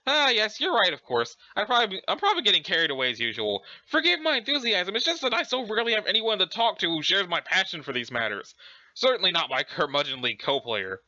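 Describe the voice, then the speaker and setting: A man voicing a chatty, scholarly, excitable alien troll with an affected British accent and a pompous, wordy manner. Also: high voice, quick pace, no room sound, lo-fi sound, full character voice. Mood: eager, pedantic. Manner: pompous, wordy, effusively enthusiastic. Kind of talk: theatrical character reading of a webcomic.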